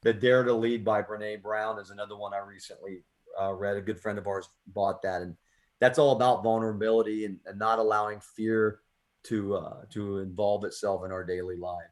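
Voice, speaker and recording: A man's voice: lowish voice, steady tone, normal speed. Earnest American man in casual discussion. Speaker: poet and radio show host. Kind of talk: casual reflective conversation.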